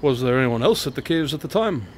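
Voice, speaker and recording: A composed, inquisitive detective, played by a male actor in an audio drama. His medium-pitched voice is dry and courteous, probing with slight formality. A faint rumble of noise lies underneath. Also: lively intonation, quick pace, close to an everyday voice.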